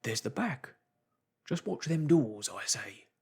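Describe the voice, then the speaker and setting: A man voicing a burly, flustered innkeeper who speaks in a rough, agitated way. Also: mid-range voice, sing-song, slow, darkish tone, pitched above the performer's own voice, exaggerated voice.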